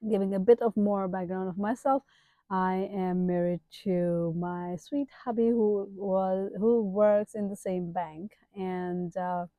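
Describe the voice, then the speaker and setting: Mellow-voiced Nepali-accented woman, chatty. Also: mid-range voice, steady tone, takes it slowly, no room sound, low-quality recording. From Kathmandu, Nepal. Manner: chatty, addresses viewers directly with emphatic asides. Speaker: page owner and digital entrepreneur. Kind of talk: casual self-introduction vlog to camera.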